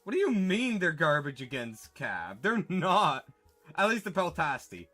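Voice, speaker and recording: Male game streamer, bright voice and animated delivery, chatty and self-correcting, with faint music under him. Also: a little high, a little slow. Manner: chatty, answers chat, corrects himself mid-sentence. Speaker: livestreamer. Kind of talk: casual gaming livestream chat.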